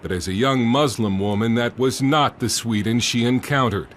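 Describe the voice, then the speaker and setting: Guy doing scripted news voiceover, reporter style, medium pitched voice. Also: moderate pace, slight background noise.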